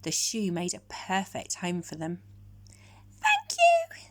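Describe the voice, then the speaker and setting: A woman storyteller narrating a children's picture book in a measured, expressive way. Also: lowish voice.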